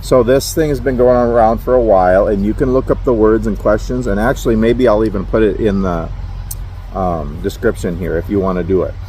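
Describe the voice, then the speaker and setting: Middle-aged minnesota guy rambling in his truck, lowish voice, bit of road hum behind. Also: fairly even intonation, a little fast, dark tone, poor audio. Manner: rambling, self-deprecating, chuckles often. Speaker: truck driver vlogger. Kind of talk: casual talking-to-camera vlog. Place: parked truck at a highway rest area.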